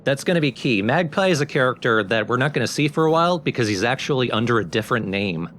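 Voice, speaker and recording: A man's voice: medium pitch, animated, quick pace, a bit bright, a little hiss or hum. A man hosting a let's play with casual, explanatory game commentary.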